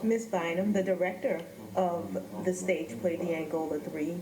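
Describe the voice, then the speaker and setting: A woman's voice: lowish voice, fairly even intonation, normal speed, background noise. A woman speaking as a podcast host and interviewer. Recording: echoey room.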